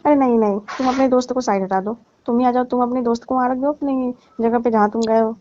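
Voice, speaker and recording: A woman's voice: on the high side, steady tone, fast, mellow, no echo, lightly acted. A woman playing a flirtatious, needy married aunty in a staged phone-call skit, pleading and complaining suggestively. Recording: dull, lo-fi call recording.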